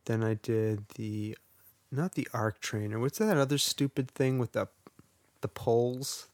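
A man's voice: medium pitch, steady tone, unhurried, darkish tone, dry recording. A man co-hosting a podcast in casual chat, rambling and wry, poking fun at himself. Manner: wry, rambling and self-deprecating. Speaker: podcast co-host.

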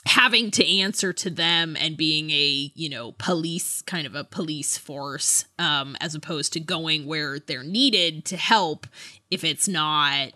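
A woman's voice: low-pitched, slow pace, pushed and bright. A chatty, giggly woman co-hosting a casual podcast discussion about books. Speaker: book podcast co-host.

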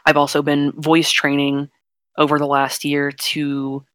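A deep-voiced, flat-toned non-binary podcaster. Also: measured pace, no room sound, studio quality. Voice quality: fairly deep voice. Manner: earnest, frank, explains at length. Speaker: podcast host. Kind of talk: casual podcast chat.

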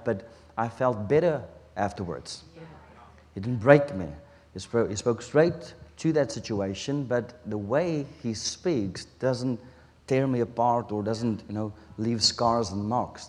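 Afrikaans-accented preacher in a reverberant hall. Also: male voice, mid-range voice, a little mellow. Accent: South African Afrikaans. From South Africa. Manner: earnest, halting, with restarts and pauses. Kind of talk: sermon.

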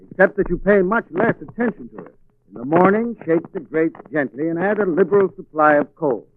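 A man's voice: a little high, no room sound. An American man delivering a scripted 1940s radio commercial as a sponsor's spokesman. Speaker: advertising spokesman. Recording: tinny, dry old radio broadcast.

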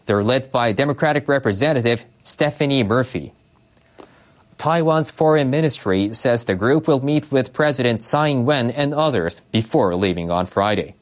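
A man reading scripted news reports as a newsreader, in a formal, measured way. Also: mid-range voice.

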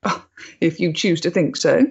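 Woman acting a proud, husky, troubled Victorian freethinker. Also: sits low, normal speed, darkish tone, dull audio, exaggerated voice. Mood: defiant. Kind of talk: period stage drama acting.

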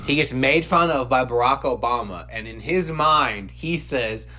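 A man's voice: mid-range voice, unhurried. A man co-hosting a comedy podcast, telling stories in casual, profane banter and animatedly acting out quotes. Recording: noisy with a steady hum.

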